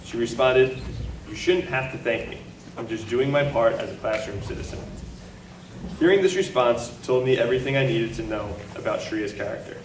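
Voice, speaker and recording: A man's voice: mid-range voice, normal speed. Male teacher reading a prepared award speech; echoey hall, noisy, mic far off. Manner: steady, reading prepared remarks. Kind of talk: read-out award speech at a school assembly. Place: school assembly hall.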